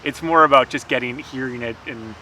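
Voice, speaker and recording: A man's voice: mid-range voice, brisk, pushed and bright, a little hiss or hum, low-quality recording. A man chatting casually and agreeably as a guest on a vlog. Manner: conversational, agreeable. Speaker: guest co-writer. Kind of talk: casual chat.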